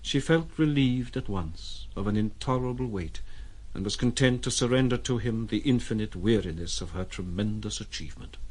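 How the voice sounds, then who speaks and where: A man giving scripted literary narration for an audio drama, with a husky voice. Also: on the low side, normal speed, a little mellow, close to an everyday voice, low-quality recording.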